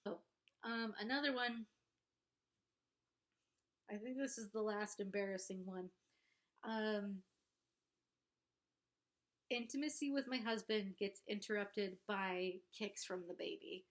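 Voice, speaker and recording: A young woman vlogger speaks slowly at a middling pitch, sounding congested. Her delivery is casual and confessional, with a candid, halting and somewhat self-conscious way of getting her thoughts out. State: congested, pregnant. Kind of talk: casual confessional talk to camera.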